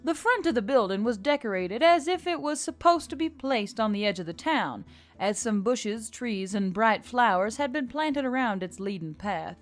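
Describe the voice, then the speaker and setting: A woman's voice: fairly high pitch, animated, moderate pace. A woman reading scripted fiction as an audiobook narrator. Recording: music behind the voice. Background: music.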